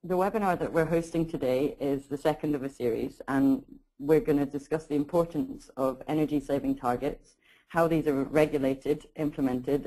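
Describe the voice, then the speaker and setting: Female webinar host, measured, reads her intro. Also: rumbling low, dark tone, low-quality recording.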